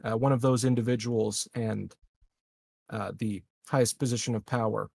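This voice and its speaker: A man playing a calculating, formal colony leader in a tabletop game, speaking deliberately and haltingly. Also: mid-range voice, fairly even intonation, creaky, darkish tone, dry recording, clean studio sound. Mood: measured, guarded.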